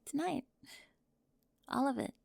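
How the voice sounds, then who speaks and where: A woman playing a high-strung, controlling teenage cheerleader, tearful and defensive, in an ASMR audio roleplay, flustered and emphatic with sighs and whispers. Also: huge pitch swings, slow, creaky, darkish tone, dry recording, clean studio sound, exaggerated voice. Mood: upset, confessing.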